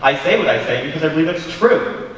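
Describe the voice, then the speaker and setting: A young man acting a contrary, cynical drunken poet in a high school stage play, speaking in a deliberate, articulate, argumentative way. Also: very animated, a bit bright. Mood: defiant, lecturing. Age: young adult. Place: large school auditorium stage. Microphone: far camera. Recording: distant, echoey auditorium with faint noise.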